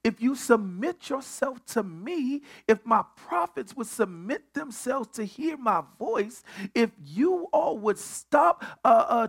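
A man's voice: very high voice, a bit bright. A male pastor preaching at a midweek Bible study, building on repeated phrases and often stumbling over restarts.

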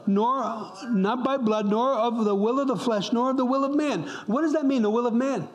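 Middle-aged male preacher from upstate New York, high voice, fervent, repeats himself, slips into hushed whispers. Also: moderate pace. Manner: impassioned, repeats words for emphasis, drops to a whisper at times. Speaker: pastor. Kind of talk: sermon. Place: church.

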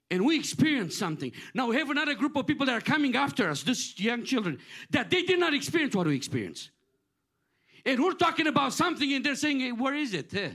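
A middle-aged man from Romania preaching with a high-pitched voice. He speaks earnestly in a repetitive preaching cadence and often stumbles and restarts his phrases. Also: a bit bright. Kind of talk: sermon.

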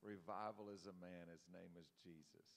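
A man's voice: low-pitched, fairly even intonation, moderate pace. A man preaching to a gathering, exclaiming to stir up the crowd. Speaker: panel speaker.

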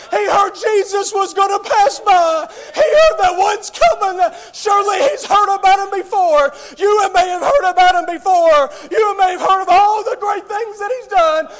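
A man with a very high voice preaches a fiery revival sermon, shouting nearly every phrase. He breaks into "oh" again and again as he drives each point home. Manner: shouted, impassioned delivery with frequent "oh" interjections.

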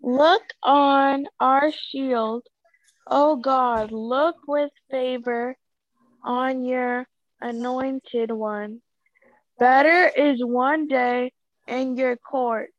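A woman's voice: sits high, steady tone, extremely slow, no room sound. A woman reading scripture aloud carefully in a Bible study over a video call. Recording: dull, muffled video call audio.

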